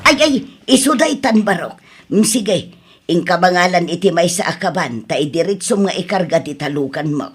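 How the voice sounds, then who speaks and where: A woman's voice: fairly low pitch, expressive, moderate pace, slightly rough, pitched below the performer's own voice. A woman voicing a matter-of-fact older female shopkeeper in an audio drama. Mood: businesslike.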